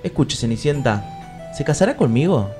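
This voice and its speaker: An actor with an Argentine accent playing a crude, lovestruck fairy-tale prince in a comic radio play, mock-courtly and slipping into street slang. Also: male voice, medium pitch, big pitch swings, moderate pace, music in the background, close to an everyday voice. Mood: courting. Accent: Argentine, Rioplatense. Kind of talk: comic radio theatre parody.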